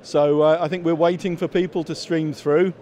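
A man's voice: fairly high pitch, flat delivery, normal speed, dark tone. A man in his fifties explaining products as a company executive in a trade show interview, often restarting and repeating words. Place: busy exhibition hall. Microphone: handheld interview microphone held by interviewer.

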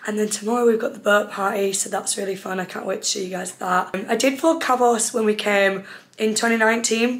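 A woman's voice: mid-range voice, fairly bright tone. A young woman, talking casually to a handheld camera about her holiday in a rambling, upbeat way. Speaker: vlogger. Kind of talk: casual travel vlog to camera. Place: hotel room and outdoor restaurant on a party holiday. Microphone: handheld camera at arm's length.

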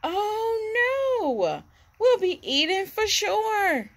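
An eager little chimp from a storybook, read by a woman. The voice is high and bright, friendly and full of exclamation. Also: sing-song, drawn-out words, exaggerated voice. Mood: excited.

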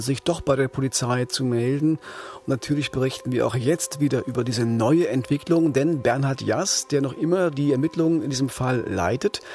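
A man hosting a crime podcast as a reporter, explaining a case conversationally with measured emphasis. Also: medium pitch, darkish tone. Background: background music.

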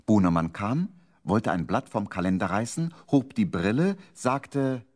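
A man with a husky voice narrating a scripted children's story for a radio play. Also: lowish voice, animated, measured pace, a little mellow.

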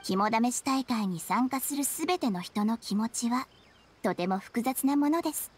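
An actress playing an eerie, courteous young shrine maiden in an anime game, formal and teasingly ominous. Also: female voice, a little high, expressive, moderate pace, breathy edge. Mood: politely unsettling.

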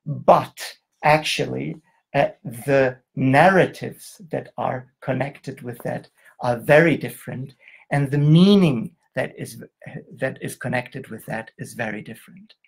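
A middle-aged man from Germany giving an online lecture over a video call, talking in a halting way with restarts. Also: medium pitch, creaky, husky. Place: indoor room, video call.

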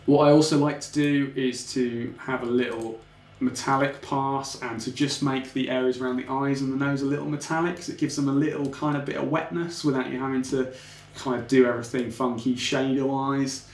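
A man's voice: medium pitch, level tone, brisk, a little mellow. A young man casually talking through his 3D animation work in a tutorial, full of "kind of" and "um" as he clicks through his setup at a desk. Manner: informal, technical, frequent "kind of" and "um" hedges. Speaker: 3D artist explaining his work. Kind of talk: relaxed screen-recorded tutorial walkthrough. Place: small home office. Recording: roomy home office with faint background hum.

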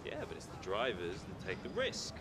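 A cocky young racing driver in a video game, boastful and needling toward his teammate. His pitch swings in a lively, animated way. Heavy background noise sits under the game audio. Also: measured pace. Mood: taunting.

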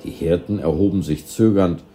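Brief: male storybook narrator, dark mellow low voice, measured expressive reading, music behind. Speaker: volunteer reader of an audio story.

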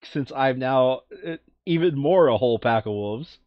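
A man casually playing through a board game, reading card text aloud and adding expressive, muttered asides. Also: mid-range voice, normal speed, dry recording, highs cut off.

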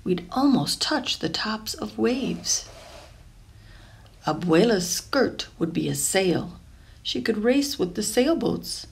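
Female storybook reader, medium pitch with noticeable fry, careful and expressive delivery for young listeners. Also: takes it slowly. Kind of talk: reading a children's picture book aloud.

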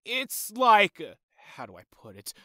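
A man's voice: sits high, wildly swooping pitch, a little slow, bright, forceful tone, no echo, clean studio sound, exaggerated voice. A man voicing a brash, crude, sardonic demon imp boss in a cartoon audio drama. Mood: brash, flustered.

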